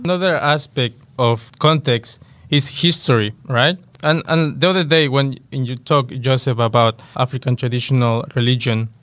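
A male host putting measured, encouraging questions to his guests. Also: medium pitch, a bit bright, dry recording. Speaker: host, interviewer. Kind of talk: interview.

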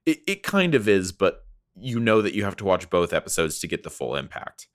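A man's voice: sits low, big pitch swings, fairly quick, dry recording, studio quality. A man co-hosting a casual, jokey review chat, often acting out imagined lines as he talks. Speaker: podcast co-host.

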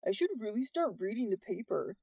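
A woman's voice: medium pitch, very animated, a little fast, gravelly, mellow, slightly rough, dry recording, exaggerated voice. A woman voicing a laid-back, unworried fifteen-year-old boy with a casual manner in an audiobook story. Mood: nonchalant. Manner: casual, nonchalant.

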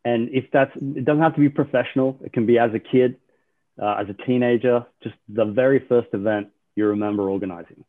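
An upbeat male podcast host walking his guests through a board game and its questions. His voice sits at an ordinary pitch and comes through a thin, phone-like line. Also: fairly quick. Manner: upbeat, explains rules. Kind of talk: hosting a game-show style podcast.

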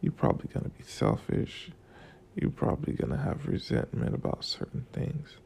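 Groggy gravelly young man rambling. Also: medium pitch, sing-song, normal speed, darkish tone, slight background noise. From Florida. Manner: loose, profane, drifts between stories and life lessons. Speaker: vlogger. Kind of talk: casual rambling monologue.

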